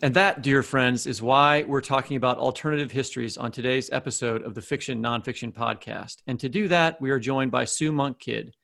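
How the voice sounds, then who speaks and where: A man's voice: mid-range voice, a little fast, gravelly, few pauses, fairly bright tone. A man co-hosting a podcast, giving introductions and putting questions to a guest. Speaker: podcast co-host.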